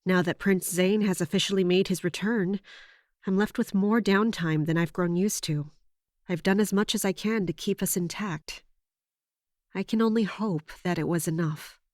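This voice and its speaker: An actress playing a weary, guarded female captain in an audio drama, speaking in a measured, restrained way. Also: lowish voice, darkish tone, no echo, clean studio sound, natural voice.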